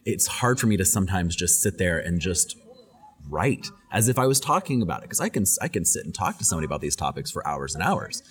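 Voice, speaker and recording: A man's voice: sits low, sing-song, fast talker. A young adult man, a guest on a podcast, answering questions thoughtfully and often repeating words as he thinks. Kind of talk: casual interview answers.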